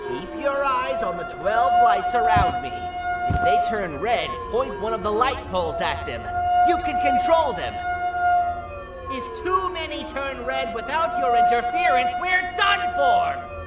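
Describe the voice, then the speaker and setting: A resolute, protective game leader, measured in pace and wide in pitch. She echoes under very loud music. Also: wildly swooping pitch, full character voice. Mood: urgent, commanding. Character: determined, remorseful protective team leader. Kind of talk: video game voice acting. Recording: echoing, distant, very loud music.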